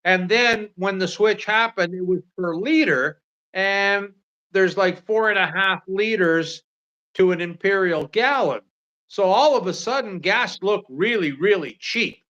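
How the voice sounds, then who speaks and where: A man's voice: high-pitched, unhurried, sharp tone, dull audio. A man in his sixties from Canada, chatting casually as livestream co-host, rambling with many fillers and restarts. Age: 60s. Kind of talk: casual chat.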